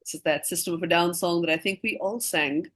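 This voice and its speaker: A woman's voice: fairly low pitch, steady tone, fairly quick, fairly bright tone. A woman quizmaster reading scripted questions aloud, with casual asides to the players.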